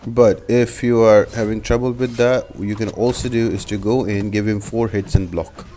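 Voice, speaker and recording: A man's voice: on the low side, steady tone, moderate pace, dark tone, slight background noise. A man giving a step-by-step gameplay tutorial as a voice-over, explaining things as a guide host. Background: background music.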